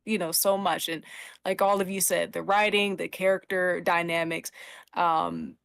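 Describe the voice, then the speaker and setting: A woman's voice: mid-range voice, fairly bright tone, no room sound. A woman podcast panelist chatting casually and emphatically as a fan. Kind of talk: casual chat about a TV show.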